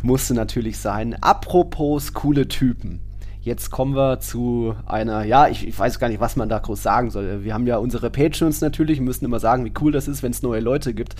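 A man's voice: medium pitch, moderate pace, a little mellow. A man co-hosting a football podcast in a casual, chatty, joking way, dropping in Spanish terms. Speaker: podcast co-host.

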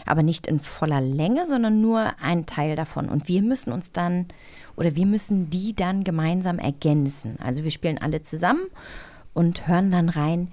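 Slightly low-voiced woman co-hosting casually. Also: moderate pace, mellow. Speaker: co-host. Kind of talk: casual chat.

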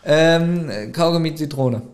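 A man's voice: on the high side, slow pace. A young man co-hosting a podcast, chatting playfully about an audio drama and laughing easily. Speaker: podcast co-host. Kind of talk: casual chat reviewing an audio drama.

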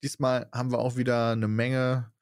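Man, podcast host, brisk casual chat, dry close mic. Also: mid-range voice, little pitch movement, a little mellow, dry recording. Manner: brisk, lists names quickly with small laughs.

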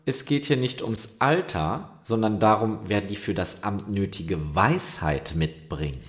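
An actor playing an earnest, competitive but sensible younger prince in a fairy-tale radio play. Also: male voice, on the low side, measured pace, natural voice.

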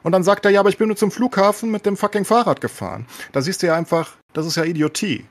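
A man's voice: sits high, fast talker. A man in a casual, opinionated discussion as a podcast co-host, talking colloquially with English swear words thrown in.

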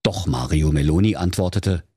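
A man's voice: deep voice, moderate pace, warm tone, husky, dry recording, studio quality. A man narrating a comic fairy tale as a storyteller, in a dramatic storybook manner. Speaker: storyteller, podcast host.

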